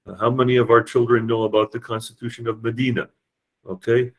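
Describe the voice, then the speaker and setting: A man's voice: medium pitch, level tone, quick pace, poor audio. A man lecturing a university class, explaining with rhetorical questions and frequent hesitant fillers.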